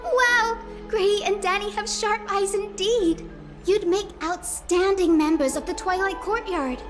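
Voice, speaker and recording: A woman playing a sweet, bubbly young healer priestess in a video game, cheerful, gushing and excitable. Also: very high voice, measured pace, music in the background. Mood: cheerful, reassuring.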